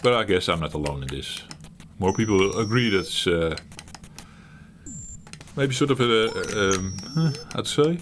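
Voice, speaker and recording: A middle-aged man hosting his retro gaming channel, talking while he plays in a halting, reflective way, searching for words and laughing at himself. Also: fairly low pitch, animated, fairly bright tone, a little hiss or hum. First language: Dutch. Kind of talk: reflective gameplay commentary.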